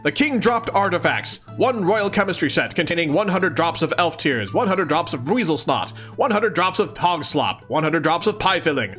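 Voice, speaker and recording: A man's voice: fairly high pitch, normal speed, few pauses, faint music behind. A man hosting a let's-read, reading aloud expressively with casual, giggly commentary. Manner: giggly, expressive, dramatic reading mixed with chatty asides. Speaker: host of a live let's-read of a webcomic.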